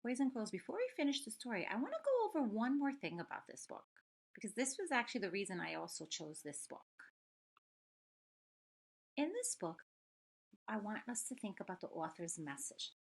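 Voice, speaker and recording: A woman elementary school teacher reading a story aloud and talking to children, expressive and full of questions. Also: a little high, moderate pace, gravelly, slightly rough. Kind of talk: children's read-aloud and lesson.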